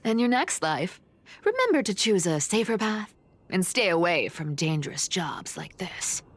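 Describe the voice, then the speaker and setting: A woman's voice: lowish voice, very animated, moderate pace. A woman voicing a sly, smug trickster in a video game, theatrical and teasing, with a young adult sound. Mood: mock pity. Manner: teasing, mock-sweet, chuckling asides. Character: sly, smug, playful female trickster with ancient secrets. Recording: music behind the voice. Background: game music.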